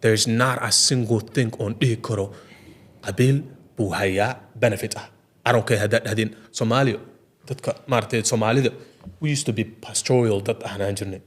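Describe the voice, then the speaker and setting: Very fast-talking man in discussion. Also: fairly low pitch, steady tone. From Ogaden region. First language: Somali. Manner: repeats filler phrases, switches between Somali and English. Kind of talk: impassioned casual discussion.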